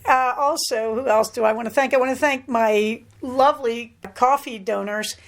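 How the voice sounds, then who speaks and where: A woman's voice: fairly high pitch, moderate pace. A middle-aged American woman hosting a podcast, talking in a chatty, rambling way with plenty of asides. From United States. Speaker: podcast host. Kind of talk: casual solo podcast chat.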